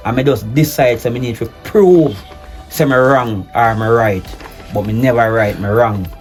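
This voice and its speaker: A man playing a hurt, betrayed husband, speaking low and measured, then forcefully. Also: faint music behind, lightly acted. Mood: stern. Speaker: husband in a domestic drama skit. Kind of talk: improvised-sounding skit acting.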